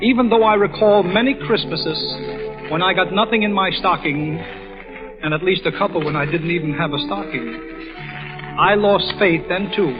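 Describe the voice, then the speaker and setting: A man's voice: high voice, normal speed. A man giving an earnest, reflective radio monologue in 1930s style, addressing the listener directly. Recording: muffled vintage radio recording. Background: music.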